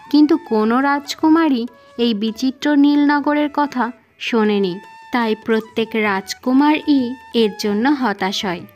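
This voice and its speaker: A woman's voice: on the high side, muffled recording. A woman narrating a scripted fairy-tale cartoon as storyteller. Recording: music under the voice.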